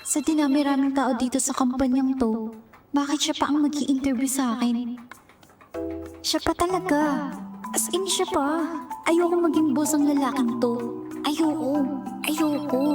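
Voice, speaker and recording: A woman playing a giddy, chatty young job applicant in a romance audio drama, expressive and gushing, with a Filipino accent. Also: high-pitched, measured pace, long pauses, over music. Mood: exasperated.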